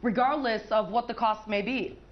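A woman's voice: fairly high pitch, moderate pace, dull audio. A woman correspondent giving a live field report, earnest and often repeating her words.

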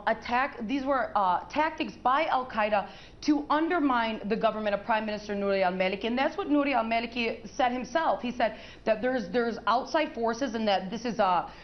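A woman's voice: a little high, highs cut off. A woman correspondent giving a live field report, earnest and often repeating her words.

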